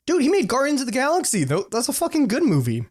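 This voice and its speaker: A man's voice: very high-pitched, wildly swooping pitch, quick pace, darkish tone. A man co-hosting a podcast, chatting informally in slangy, sweary language and giving loosely hedged opinions. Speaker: podcast co-host. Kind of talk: casual podcast chat about movies.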